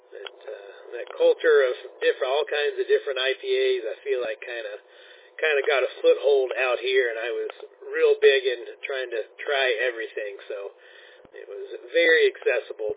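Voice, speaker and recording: A man in his forties talks in an easy, rambling way, often restarting his sentences. His voice comes through a narrow, tinny radio band and sounds distant from the mic. The tone is slightly husky, with radio hiss behind it. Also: medium pitch. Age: 40s. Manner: relaxed, rambling with frequent restarts. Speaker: amateur radio operator. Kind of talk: casual radio chat. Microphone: radio transceiver mic.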